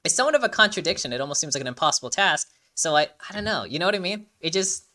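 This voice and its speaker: Fast, animated reaction host, bright voice. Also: mid-range voice. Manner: animated, rambling, repeats words, swears. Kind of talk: casual reaction commentary and breakdown.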